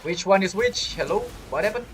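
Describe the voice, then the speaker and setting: A man's voice: sits high, expressive, fairly quick, fairly bright tone, a little hiss or hum. A man giving casual, musing gameplay commentary as a streamer, thinking aloud. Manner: rambling, musing. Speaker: game streamer. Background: faint game music.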